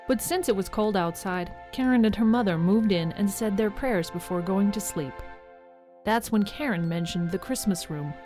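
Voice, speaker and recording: A woman's voice: medium pitch, a little mellow. Woman storyteller over prominent music. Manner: storybook recap, addressing listeners. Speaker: narrator of a radio serial. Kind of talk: scripted storytelling narration. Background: music with jingling bells.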